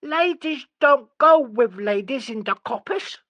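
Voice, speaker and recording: A man voicing a sulky, derisive little village girl who whines and yells. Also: extremely high pitch, expressive, measured pace, dry recording, lo-fi sound, voice pushed up. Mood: whiny. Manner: whiny, derisive, yelling.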